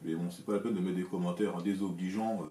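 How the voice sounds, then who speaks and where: Low-voiced older Frenchman in an echoey workshop. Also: steady tone, warm tone. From France. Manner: measured, plain-spoken, many hesitant "euh" fillers. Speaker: electronics hobbyist, channel host. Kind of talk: casual explanatory talk to camera. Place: home workshop with shelves of equipment, indoors. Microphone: camera framing at desk, seated.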